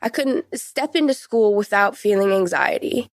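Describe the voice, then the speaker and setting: A woman's voice: medium pitch, lively intonation, moderate pace, no room sound, studio quality. A woman in her late teens giving a conversational personal account as a podcast guest.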